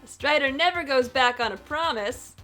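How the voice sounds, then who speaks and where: A woman's voice: high-pitched, moderate pace, sharp tone, faint music behind. A woman playing a cocky, breezy skateboarding cartoon character in a scripted comedy sketch. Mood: breezy, teasing.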